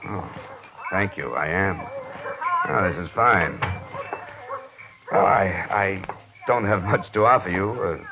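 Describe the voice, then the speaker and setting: Deep-voiced American radio investigator, muffled recording. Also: male voice, takes it slowly, long pauses, noisy background. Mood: businesslike. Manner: clipped, matter-of-fact, dry. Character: tough, world-weary freelance insurance investigator. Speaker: lead actor in a radio detective series. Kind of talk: 1950s radio drama acting, first-person narration and dialogue.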